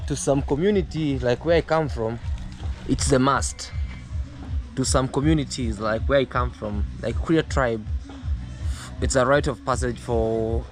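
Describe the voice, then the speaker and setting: Young man, outdoor vlog, halting with fillers, middle pitch, faint music behind. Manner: hesitant, many fillers and restarts. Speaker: vlogger. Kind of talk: casual vlog narration. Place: outdoors at a rural home garden. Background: distant music.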